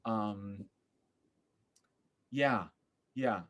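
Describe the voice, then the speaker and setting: A man giving a thoughtful interview as a podcast guest, searching for his words with an earnest, halting manner. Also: on the low side, a bit bright. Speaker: podcast guest, theater artist.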